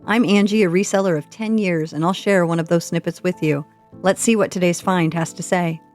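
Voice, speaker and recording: A woman's voice: fairly low pitch, steady tone, a little fast, darkish tone, soft background music. A woman podcast host delivering scripted narration, reading aloud and reflecting on what she reads.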